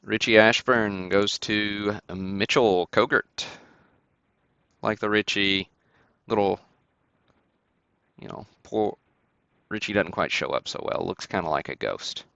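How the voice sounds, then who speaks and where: Easygoing guy doing a livestream card break, crackly low voice, chats with viewers, kinda dull audio. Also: slow pace, gravelly, long pauses, fairly bright tone, smooth, no room sound. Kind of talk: casual livestream commentary, calling out cards and buyers.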